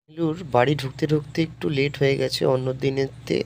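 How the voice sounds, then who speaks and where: A man's voice: medium pitch, little pitch movement, brisk, very noisy. A man narrating a scripted horror story in storytelling fashion. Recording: loud music under the voice. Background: loud background music.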